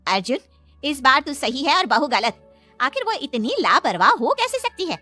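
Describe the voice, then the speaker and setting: A commanding middle-aged mother-in-law in a cartoon drama, voiced by a woman. Her voice is very high and forceful, stern and proud, recorded close and dry. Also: very animated, normal speed, no room sound, soft background music, heavily acted.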